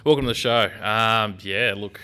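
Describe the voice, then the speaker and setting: This is a man co-hosting a sports podcast. His voice sits fairly low but comes across bright and forceful. He is naturally loud, upbeat and quick to agree. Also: smooth. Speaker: podcast co-host, journalist. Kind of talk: casual sports podcast chat.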